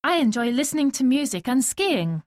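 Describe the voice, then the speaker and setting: Lively higher-voiced woman reading textbook lines. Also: dry recording, clean studio sound. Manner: slow, clearly spoken textbook delivery. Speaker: dialogue reader in a language lesson. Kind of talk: scripted dialogue reading.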